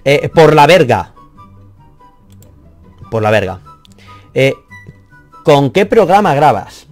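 A man's voice: a little high, very animated, slow, soft background music. A young man whose first language is Catalan, reading viewer comments from a small office and answering them in a rambling, self-deprecating way, swearing casually. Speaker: math youtuber.

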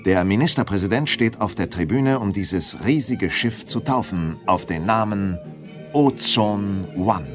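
An actor playing an awestruck live radio reporter, reverent and ceremonious, in a radio drama. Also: male voice, on the low side, lively intonation, measured pace, over music. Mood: awed.

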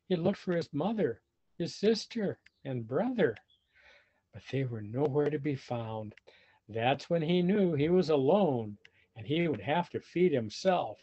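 A man with a husky voice reading a children's storybook aloud expressively and deliberately, pausing to explain things simply to young listeners. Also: medium pitch, mellow. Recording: dull lo-fi video call audio.

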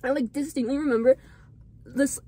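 A woman's voice: high-pitched, fairly even intonation, normal speed, dark tone, breathy edge. A young woman telling a long rambling story to friends in a parked car, giggling and saying "like" constantly. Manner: rambling, giggly, heavy use of "like". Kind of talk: casual chat.

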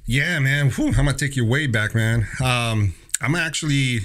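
A man from Mexico, a business owner telling his story as a guest in a relaxed, casual way, often saying "man" and restarting sentences. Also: mid-range voice, moderate pace. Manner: laid-back, says "man" a lot, many restarts. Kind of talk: casual interview talk.

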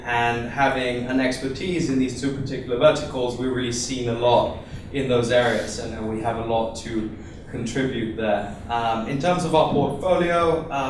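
A young man from Iceland giving a relaxed investor pitch on a conference stage with a handheld mic, full of fillers and restarts. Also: a little hiss or hum. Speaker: venture capital investor. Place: conference room with seated audience. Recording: echoey room, voice from far off.

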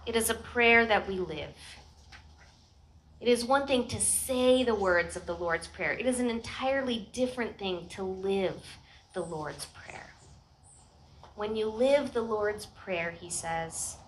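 A woman's voice: medium pitch, measured pace, rough recording. A middle-aged American woman pastor giving an earnest, conversational sermon with informal asides and rhetorical questions, speaking into a headset microphone. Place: outdoor church service in a garden. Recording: outdoor live recording with ambient noise.

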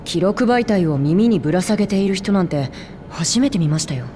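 Actress playing curious young game elf, very mellow female voice, animated intonation, polite and breezy. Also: lowish voice, a little fast, a little hiss or hum, close to an everyday voice. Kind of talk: video game voice acting.

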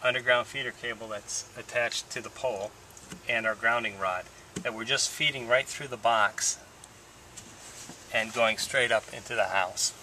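Middle-aged male DIY instructor, plain step-by-step delivery, bright tone, recorded on a slightly distant camera mic. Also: mid-range voice, normal speed, slight background noise. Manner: plain step-by-step explaining, relaxed. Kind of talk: hands-on how-to demonstration. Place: outdoors by a house, then indoor workshop. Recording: slightly distant camera audio with faint ambience.

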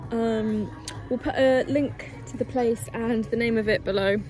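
A woman's voice: on the high side, fairly even intonation, a little slow, rough recording. A young woman, a travel vlogger chatting upbeat to viewers from a camera held at arm's length, outdoors. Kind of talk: casual vlog narration to camera. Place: outdoors in a town and on a rooftop terrace. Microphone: handheld camera at arm's length. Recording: music playing under the voice.

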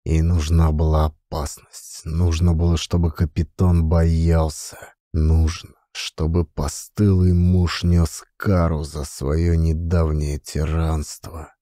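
A man narrates classic fiction with an actor's expressive delivery. His voice is low and dark, recorded dry and close. The storytelling is measured and dramatic. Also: no echo.

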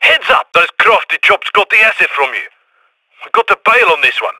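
A chirpy heist handler, his high, bouncy voice swooping up and down on every line. The tone is bright and sharp, tossing out slang and ending lines with a questioning "yeah?". It is recorded close and dry, with no room sound. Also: animated, a little fast, no room sound, exaggerated voice, low-quality recording. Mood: upbeat. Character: cheerful adult male heist handler on radio. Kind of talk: video game voice lines.

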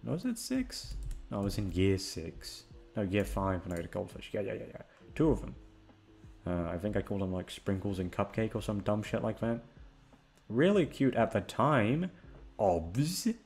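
A young British man chatting casually and hesitantly, meandering, repeating words and gently mocking himself. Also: on the low side, sing-song, moderate pace, creaky. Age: young adult. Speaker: voice actor talking to listeners. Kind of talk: casual rambling chat.

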